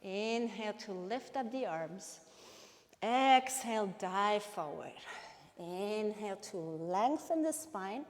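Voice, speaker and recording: A woman from Switzerland with a Swiss accent, a native Bernese Swiss German speaker, leads a live yoga class with calm, step-by-step cues and breath prompts. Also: medium pitch, takes it slowly. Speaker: yoga instructor. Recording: long reverberant hall-like echo.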